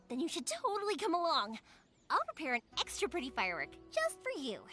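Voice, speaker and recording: A woman playing a cheerful, warmhearted young fireworks maker in a video game, chatty, bubbly and expressive. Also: very high voice, exaggerated voice. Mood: cheerful, inviting. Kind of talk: animated video game voice acting. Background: background music.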